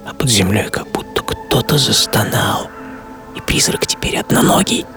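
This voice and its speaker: An actor playing an obsessive middle-aged entrepreneur in a dramatic first-person radio monologue, speaking theatrically with pauses and half-whispered phrases. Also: male voice, fairly low pitch, vocal fry, sharp tone. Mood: hushed, eerie. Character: obsessive middle-aged entrepreneur and treasure hunter recalling boyhood. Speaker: author and performer of a radio programme. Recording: music under studio voice.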